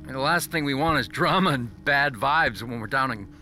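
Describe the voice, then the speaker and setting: An actor playing a sinister, falsely friendly abductor in an audio drama, coaxing with calm, chummy menace. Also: male voice, medium pitch, moderate pace, soft background music. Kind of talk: audio fiction acting.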